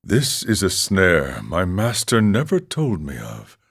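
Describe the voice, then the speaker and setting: Old mystic monk game character, deep slow voice, really swoops up and down, solemn but wry. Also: male voice, huge pitch swings, breathy edge, heavily acted. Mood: searching, reverent. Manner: solemn, measured, philosophical delivery. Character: older mystical wandering monk, serene, wry, ascetic. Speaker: voice actor in a video game. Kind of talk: scripted game character dialogue.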